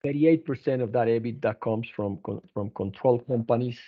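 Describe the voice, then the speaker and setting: A man presenting company financial results as an executive on an earnings conference call, speaking haltingly with frequent restarts. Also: medium pitch. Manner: halting, hesitant delivery with repeated restarts. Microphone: telephone conference line. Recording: narrow, tinny phone-line conference call.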